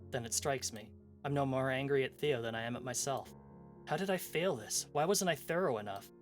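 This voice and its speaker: A man reading aloud the part of a brooding, frustrated professor in a visual novel mystery, in measured, formal wording. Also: mid-range voice, natural voice. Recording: music behind the voice.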